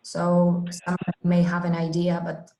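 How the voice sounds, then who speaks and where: A woman's voice: on the low side, monotone, unhurried, mellow. A woman conducting an informal interview, asking questions as the interviewer. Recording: roomy, echoing interview recording.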